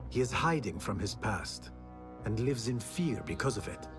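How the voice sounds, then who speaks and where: An actor playing a principled young assassin in a video game, serious and measured. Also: male voice, fairly low pitch, big pitch swings, over music. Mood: grave. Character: earnest, principled young male assassin of a secret order. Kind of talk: video game cutscene acting.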